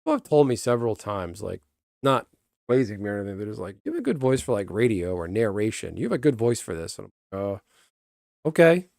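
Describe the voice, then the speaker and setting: A man chatting casually on a stream, rambling and breaking off mid-sentence, answering his viewers in a calm, even voice. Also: lowish voice, fast, gravelly, dry recording, studio quality. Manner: rambling, self-interrupting, chats with viewers. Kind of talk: casual live-stream chat.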